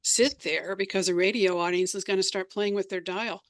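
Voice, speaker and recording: A woman's voice: medium pitch, fairly even intonation, moderate pace. A woman being interviewed, telling her recollections in an articulate, storytelling way. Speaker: interview guest, radio drama writer and performer. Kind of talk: reminiscing interview answers.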